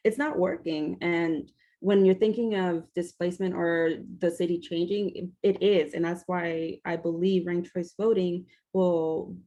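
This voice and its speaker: A woman's voice: lowish voice, steady tone, unhurried, hardly any pauses, warm tone, muffled recording. A woman from Washington, D.C. speaking as a panelist, hesitant, with many fillers and restarts. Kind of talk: panel discussion, advocating.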